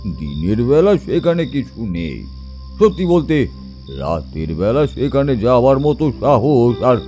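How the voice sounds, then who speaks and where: Slow, expressive male storyteller. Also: medium pitch. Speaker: narrator and storyteller. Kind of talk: dramatic horror story narration.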